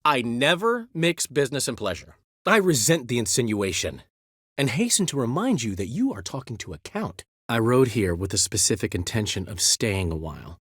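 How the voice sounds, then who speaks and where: An actor playing a vain, witty, flamboyant male bard and count in a video game, theatrical and quick to laugh or exclaim. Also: mid-range voice, very animated, a bit bright, slightly rough, no echo, clean studio sound. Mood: chatty, pompous.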